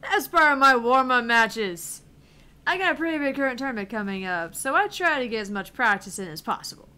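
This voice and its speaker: A woman's voice: fairly high pitch, animated, a little fast, pushed and bright, smooth. A woman voicing a brash, cocky, foul-mouthed female game streamer in a visual novel, with taunting, theatrical delivery. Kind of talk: visual novel read aloud in character.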